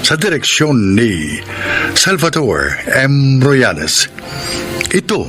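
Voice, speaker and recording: A male radio drama host with a slow delivery and a wide melodic swing. He announces formally and dramatically, over a clear music bed. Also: medium pitch, very animated. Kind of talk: scripted radio drama introduction and credits.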